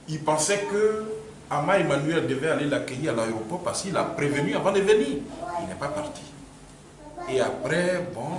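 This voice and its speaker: A man tells the story of a church quarrel, his intonation rising and falling a lot as he circles back over points. He sits some distance from the mic in an echoey room, with steady background noise underneath. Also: medium pitch. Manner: animated storytelling with repetitions. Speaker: church member recounting a dispute. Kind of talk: spontaneous spoken account. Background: ambient room noise.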